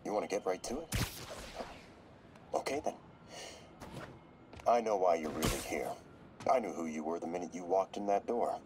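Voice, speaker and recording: A man voicing a male therapist who speaks in a calm, clinical, measured way. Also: low-pitched, background noise. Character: calm male therapist, coolly analytical and scheming.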